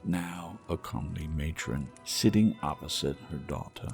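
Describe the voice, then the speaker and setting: A man reading a classic novel aloud in a measured, expressive storytelling manner. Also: extremely low pitch, gravelly, soft background music. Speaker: audiobook narrator. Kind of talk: scripted narration of a classic novel.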